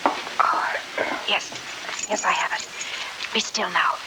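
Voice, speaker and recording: An actress playing an anxious, earnest young woman in a 1940s radio drama, speaking with hushed urgency. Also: medium pitch, slow pace, lightly acted. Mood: urgent, concerned. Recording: noisy old 1949 radio recording.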